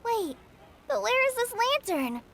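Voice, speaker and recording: A woman's voice: very high-pitched, sing-song, a little slow, creaky, very noisy, full character voice. A woman voicing a shy, earnest teenage girl adventurer in an anime game, with a hesitant manner. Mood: puzzled.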